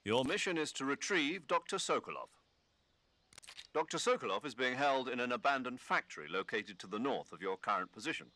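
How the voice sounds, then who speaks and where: An actor playing a commanding army major giving a mission briefing in a video game, crisp, formal and emphatic. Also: male voice, medium pitch, animated, a little fast, pushed and bright. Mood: brisk, official. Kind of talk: video game cutscene acting.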